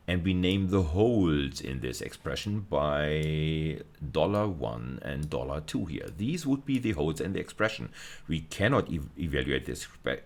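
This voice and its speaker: Very deep-voiced male lecturer. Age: middle-aged. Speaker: university lecturer. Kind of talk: explanatory screencast lecture on programming.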